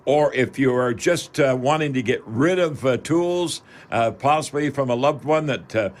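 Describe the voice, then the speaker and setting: Folksy Canadian man hosting radio. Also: mid-range voice. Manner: folksy, rambling, halting delivery. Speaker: radio call-in show host. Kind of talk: casual live radio chat.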